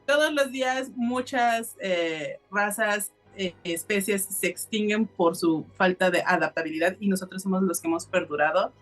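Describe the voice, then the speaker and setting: A woman's voice: mid-range voice, normal speed, few pauses, faint music behind. A woman co-hosting a podcast, chatting casually and emphatically as she addresses listeners. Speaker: podcast co-host. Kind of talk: casual opinionated chat.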